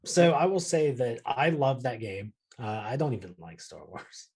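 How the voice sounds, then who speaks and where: A man's voice: medium pitch, sing-song, normal speed, a little mellow, husky. A man in a casual panel chat, giving blunt, sweary opinions. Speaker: podcast panelist.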